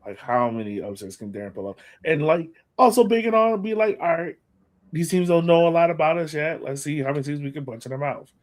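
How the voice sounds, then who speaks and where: A man's voice: on the high side, animated, brisk. A man co-hosting a podcast, chatting casually about sports in colloquial language and repeating phrases for emphasis. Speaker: podcast co-host.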